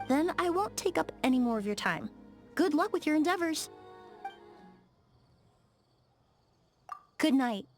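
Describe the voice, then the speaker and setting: An actress playing a polite, precise young woman perfumer in a video game, speaking in a measured, articulate and frank way. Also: high-pitched, sing-song, faint music behind. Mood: gentle. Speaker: game character voice actor. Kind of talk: scripted video game dialogue.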